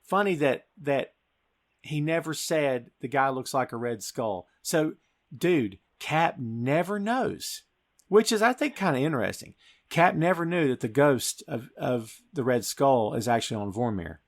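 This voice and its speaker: A man's voice: mid-range voice, lively intonation, unhurried. A man hosting a podcast, recapping a film in an informal, emphatic storytelling way. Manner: informal, emphatic storytelling, addressing co-host as dude. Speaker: podcast host. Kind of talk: casual film recap and discussion.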